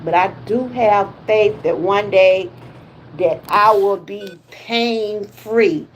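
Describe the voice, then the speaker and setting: A woman's voice: medium pitch, takes it slowly, a little hiss or hum, poor audio. A woman in her fifties with a Southern US accent, chatting casually on her wellness livestream with folksy exclamations. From Georgia, USA. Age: 50s. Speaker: wellness vlogger.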